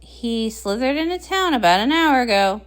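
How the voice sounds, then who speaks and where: A woman's voice: a little high, expressive, normal speed, clear, resonant tone, voice pushed down, close to an everyday voice. A woman voicing an anxious, easily exasperated male pony prince with an urgent, dramatic manner in a comic read-along.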